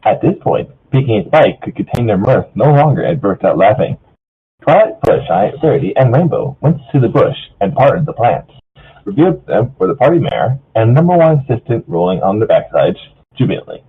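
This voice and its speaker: Husky man casually narrating, muffled audio. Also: very animated, a little fast. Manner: stumbles over words, often repeats phrases. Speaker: story reader. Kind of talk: casual narration of fan fiction. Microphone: online voice call. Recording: muffled low-fidelity voice chat.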